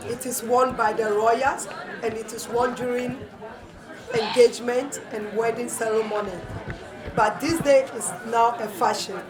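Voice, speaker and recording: Ghanaian woman pitching at a busy fair. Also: distant mic. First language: English. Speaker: exhibitor. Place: crowded trade fair hall. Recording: echoey crowded fair from afar.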